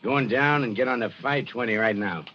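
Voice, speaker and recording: An actor playing a brash, mocking middle-aged American racketeer in 1940s radio style, talking tough and slangy. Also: male voice, mid-range voice, a little fast, soft background music, lightly acted. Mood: jovial, expansive. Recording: dull, noisy old radio recording.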